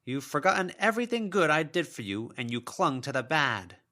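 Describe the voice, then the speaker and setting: A man's voice: a little high, big pitch swings, brisk, bright, forceful tone, pitched above the performer's own voice. A man voicing the ghost of an older woman, pleading, aggrieved and full of excuses, in an audiobook. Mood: aggrieved, self-justifying.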